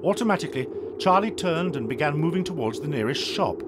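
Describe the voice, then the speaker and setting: An actor playing the storyteller, giving expressive scripted narration of a children's tale. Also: male voice, fairly high pitch, quick pace, very noisy, lightly acted. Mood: expressive storytelling.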